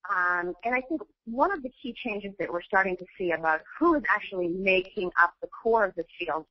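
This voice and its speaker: A woman speaking as a book co-author on a webinar, explaining her points in an articulate, measured way. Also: medium pitch, husky, no echo, lo-fi sound. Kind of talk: webinar discussion.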